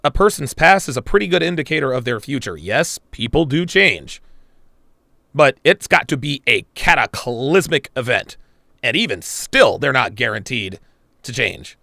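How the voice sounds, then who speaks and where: A man's voice: medium pitch, animated, normal speed, creaky, bright, forceful tone, no echo, clean studio sound. A man hosting a live reaction stream, blunt and crude, swearing and talking to his chat viewers. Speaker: podcast host reacting to a clip.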